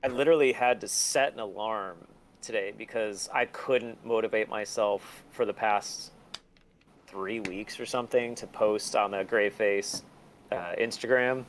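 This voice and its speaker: A man chatting casually as an interview guest, self-deprecating and quick to swear. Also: on the low side, slight background noise. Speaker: podcast guest, record label and museum owner. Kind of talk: casual chat.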